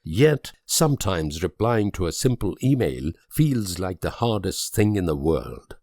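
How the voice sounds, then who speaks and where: An actor playing a grave, idealistic and world-weary political leader in a strategy game, speaking in measured, solemn oratory with dramatic pauses. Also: male voice, sits low, very animated, darkish tone, no room sound, clean studio sound, heavily acted. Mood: rueful.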